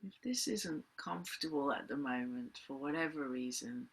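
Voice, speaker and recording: A woman leading a guided meditation as a teacher, speaking in gentle, deliberate phrases with long pauses. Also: rumbling low, breathy edge, low-quality recording. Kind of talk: guided meditation, unscripted spoken instruction.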